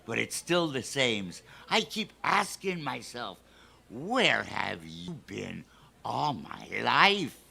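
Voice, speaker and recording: Gravelly, sharp-voiced lovestruck old codger. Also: male voice, medium pitch, very animated, takes it slowly, exaggerated voice. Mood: smitten, insistent. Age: older. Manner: folksy, drawling rustic turns of phrase. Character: lovestruck folksy old male custodian, cocky and stubborn. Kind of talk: old-time radio comedy acting.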